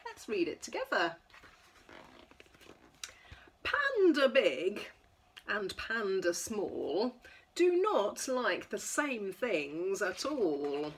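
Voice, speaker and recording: A middle-aged woman leading a children's storytime, reading a picture book aloud in an expressive, sing-song way for small children. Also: mid-range voice, drawn-out words, long pauses, slight background noise. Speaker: toddler class leader. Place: indoors in front of a backdrop.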